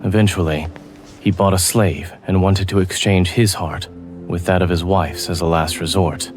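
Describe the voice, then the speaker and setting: Man acting as reserved male doctor, low pitch, calm, formal measured delivery, scholarly, game fan dub, soft music behind. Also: natural voice. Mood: calm, detached. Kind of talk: scripted fan dub acting with ASMR leanings.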